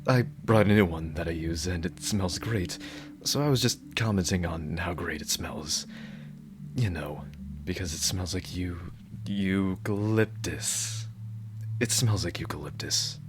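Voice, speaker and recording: A man playing a dorky, lovestruck bodyguard in an ASMR roleplay, speaking in an awkward, halting, earnest way. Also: low voice, noisy background. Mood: flustered.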